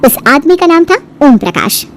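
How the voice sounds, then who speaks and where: A woman's voice: high voice, very animated, normal speed, a little hiss or hum. A woman narrating a scripted folk story as the storyteller. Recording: music under the narration.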